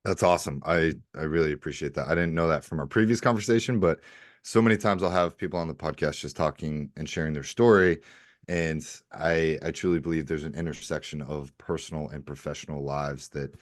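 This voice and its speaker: Male podcast host with a very deep voice and dry, close sound; upbeat and encouraging. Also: a little fast, no room sound. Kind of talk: podcast hosting.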